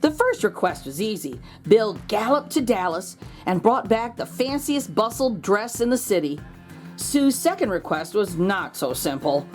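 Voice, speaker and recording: A woman reading a children's picture book aloud as host, expressive, drawing out words for drama. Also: medium pitch, unhurried, faint music behind. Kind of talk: animated storybook read-aloud for children.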